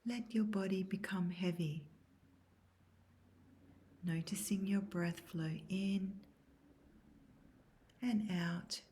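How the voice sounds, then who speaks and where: A woman guiding a scripted yoga nidra meditation in a soft, breathy, near-whispered voice, calm and measured. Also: medium pitch. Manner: calm, measured, soothing instruction. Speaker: guided meditation instructor.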